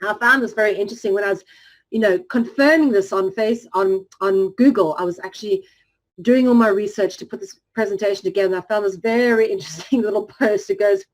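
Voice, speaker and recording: A woman presenting a lecture over slides, explaining earnestly and often pausing on "um". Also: medium pitch, rough recording. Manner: earnest, explanatory, frequent "um" fillers.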